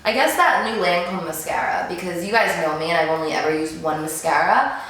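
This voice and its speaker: A woman's voice: on the low side, brisk, a bit bright, slight background noise. A woman in her twenties, a beauty vlogger, chatting casually to camera and answering viewer questions. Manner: chatty, gushing, many "like"s and "um"s. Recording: very roomy echo.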